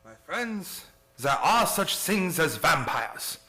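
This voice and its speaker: A man's voice: a little high, very animated, slow pace, bright, forceful tone, exaggerated voice. A man playing a grave, learned professor who hunts vampires in a radio play, speaking in solemn, formal oratory. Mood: grave, resolute. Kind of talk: old-time radio play acting.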